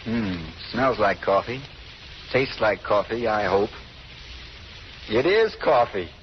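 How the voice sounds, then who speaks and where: A man's voice: lowish voice, animated, takes it slowly. A man playing a brusque, wry hospital patient in an old radio drama, speaking in clipped lines. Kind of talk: 1930s-40s radio drama acting. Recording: muffled, noisy old radio recording.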